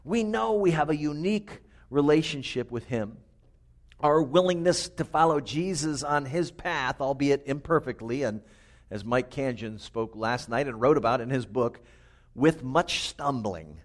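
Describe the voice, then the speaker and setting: Loud, resonant preaching man. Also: mid-range voice. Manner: lively, personal storytelling with humor. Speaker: pastor. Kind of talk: sermon. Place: small chapel. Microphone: microphone.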